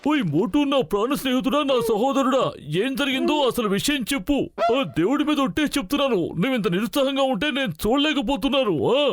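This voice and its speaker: Very high-pitched, excitable cartoon inventor. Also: male voice, fast talker, no echo. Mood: eager, concerned. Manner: effusive, repeats affectionate addresses to his friend. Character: excitable, devoted male inventor friend with grand ideas. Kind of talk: cartoon dub acting. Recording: loud music behind the voice.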